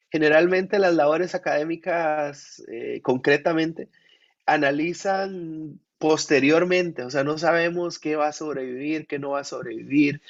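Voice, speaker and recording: A man's voice: a little high, gravelly. A man, sick with a cold, answering questions thoughtfully at an art conference, with frequent restarts. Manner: reflective, with many restarts. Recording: muffled, lo-fi audio.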